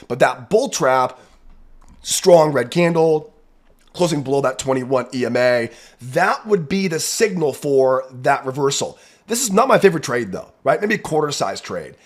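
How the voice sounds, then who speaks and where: A man with a raspy, hoarse voice from a head cold explains chart setups as a trading educator. His voice sits fairly high for a man. He talks emphatically and keeps checking in with "right?". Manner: emphatic, repeats "right?" for confirmation. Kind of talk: chart walkthrough commentary.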